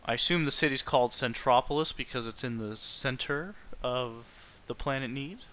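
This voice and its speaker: A man's voice: medium pitch, normal speed, bright, forceful tone. A man giving rambling, informal playthrough commentary as a game streamer, with game music behind him.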